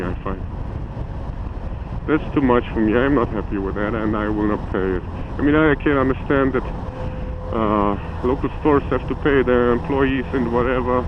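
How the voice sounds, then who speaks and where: A man with a German accent, a native German speaker, chatting in a rambling, self-deprecating way while riding a motorcycle in winter. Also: medium pitch. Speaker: motovlogger. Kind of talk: live motovlog chat while riding. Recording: phone-like audio with strong wind and engine noise. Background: wind and vehicle noise.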